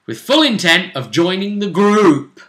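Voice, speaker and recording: A man's voice: sits high, sing-song, measured pace, pushed and bright. A man chatting casually as co-host of a tabletop role-playing vidcast, going over the campaign. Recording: echoey room.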